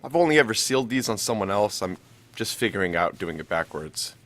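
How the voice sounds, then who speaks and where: An earnest rookie male security guard in an audio drama. He speaks casually and reassuringly, with easygoing warmth of manner and a very animated, varied pitch. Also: brisk. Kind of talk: naturalistic audio drama acting.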